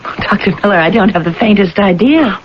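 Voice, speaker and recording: A woman's voice: medium pitch, expressive, fairly quick, slight background noise, close to an everyday voice. An actress playing a troubled, bewildered young woman haunted by dreams, earnest and uncertain, in a 1980s radio drama. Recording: dull, lo-fi old radio recording.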